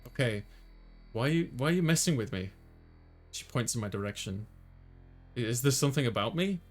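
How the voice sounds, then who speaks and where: A man's voice: medium pitch, animated, brisk, faint music behind. A man hosting a gaming video, reading visual novel text aloud and adding casual asides.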